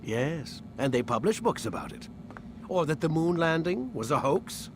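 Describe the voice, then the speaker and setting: A patronizing male scientist who holds the upper hand in a video game scene. His articulate, sardonic speech swings widely in pitch, over clear background noise and a low rumble. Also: sing-song, normal speed. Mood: scornful lecturing. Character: condescending, smug male scientist and captor. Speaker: game voice actor. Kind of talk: dramatic game cutscene dialogue.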